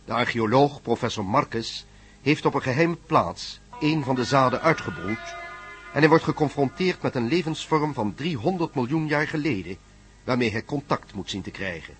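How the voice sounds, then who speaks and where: A man's voice: mid-range voice, lively intonation, moderate pace. A man narrating a scripted recap for a radio drama serial. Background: music.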